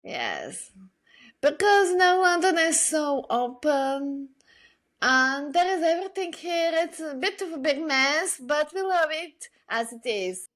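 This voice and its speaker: A woman from Bulgaria speaks as a guest in a relaxed interview. Her voice sits extremely high and her pace is slow. She answers in a measured, thoughtful way. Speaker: podcast guest, illustrator and digital artist. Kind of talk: casual interview chat.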